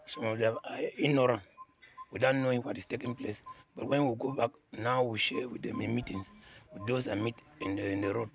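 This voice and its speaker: A man's voice: mid-range voice, normal speed, soft background music. A man giving an unscripted interview answer in plain, short phrases as a seminar participant.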